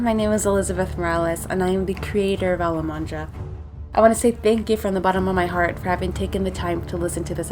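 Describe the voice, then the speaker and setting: Lowish-voiced woman giving scripted outro. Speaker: series creator. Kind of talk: scripted thank-you outro to listeners.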